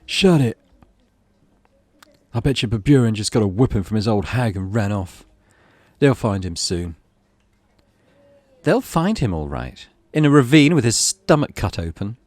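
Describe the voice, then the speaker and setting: Callous teenage bully acted by a man, taunting, animated delivery, horror game. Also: lowish voice, measured pace, long pauses, no room sound. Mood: menacing. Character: callous delinquent schoolboys, sneering and morbid.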